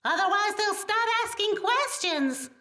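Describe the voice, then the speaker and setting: Squeaky-high earnest talking rat. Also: female voice, expressive, a little slow, bright, forceful tone, exaggerated voice. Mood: resolute, worried. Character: brave, remorseful talking anthropomorphic rat, creation of evil boss. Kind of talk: audio play acting. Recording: echoey, distant from the mic.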